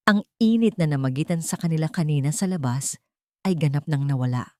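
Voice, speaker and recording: A woman reading dramatic scripted story narration in a measured way, with short weighted phrases. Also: sits low, a little mellow, no echo, clean studio sound.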